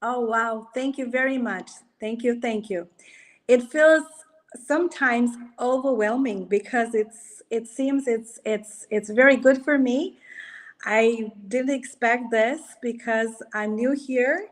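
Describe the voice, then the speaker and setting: A woman speaks English as an in a fairly high voice. She talks hesitantly, searching for words with plenty of fillers, and laughs easily as an interview guest. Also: slow. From Romania. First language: Romanian. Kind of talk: casual interview.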